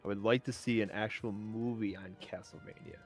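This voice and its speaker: A man's voice: on the low side, fairly even intonation, a little fast, creaky, faint music behind. A man chatting casually as a panelist about movies and games.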